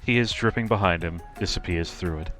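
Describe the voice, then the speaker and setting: A man's voice: deep voice, fairly quick, over loud music. A man narrating a comic game as a streamer, reading scripted lines in a theatrical, playful way.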